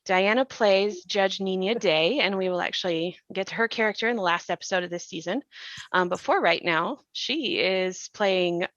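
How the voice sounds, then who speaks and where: A woman's voice: mid-range voice, moderate pace, fairly bright tone, no room sound. A woman speaks as a podcast host in a mid-range voice. She explains things thoughtfully in a reflective discussion style. The sound is very dry and slightly dull, with little room on it.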